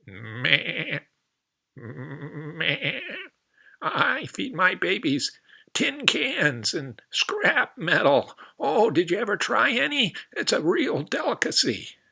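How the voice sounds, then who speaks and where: A man's voice: sits high, big pitch swings, slow pace, vocal fry, pushed and bright, slightly rough, voice pushed up, full character voice. A man voicing a bleating, chatty mother goat in a storybook.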